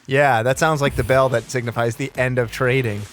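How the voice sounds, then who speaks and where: Man reading as an awkward, halting stockbroker. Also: medium pitch, steady tone, fairly bright tone, natural voice. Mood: awkward, friendly. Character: awkward male stockbroker making small talk.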